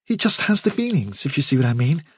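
A man's voice: mid-range voice, big pitch swings, quick pace, slight background noise, voice pushed up, lightly acted. A man voicing an earnest, respectful young male rabbit in a storybook, with a polite, hesitant and deferential manner. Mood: respectful, explaining.

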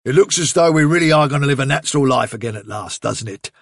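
A hearty, confident rabbit warrior from a storybook , read by a man, British and bluff. The voice is gravelly and a bit husky, with chummy, plain-spoken delivery. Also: medium pitch, animated, fast talker, no room sound, studio quality. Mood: cheerful, musing.

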